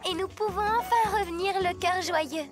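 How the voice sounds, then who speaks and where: A woman voicing a lively, opinionated young schoolgirl in a cartoon, quick to judge. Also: very high voice, over music. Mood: pleased. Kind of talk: cartoon dub.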